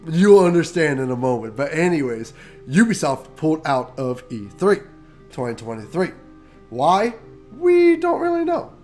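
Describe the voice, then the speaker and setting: A man's voice: fairly high pitch, big pitch swings, moderate pace, darkish tone, faint music behind. A man co-hosting a casual gaming chat, nasal from a cold, joking and rambling. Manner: laid-back, joking, rambling. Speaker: podcast co-host.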